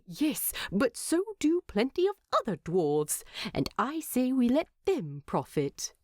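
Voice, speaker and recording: A woman voicing a tired, fussy, gentle male hobbit in a fantasy story, with a hesitant, muttering manner. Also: fairly high pitch, sing-song, slow pace, pitched above the performer's own voice, heavily acted. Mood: insistent, weary.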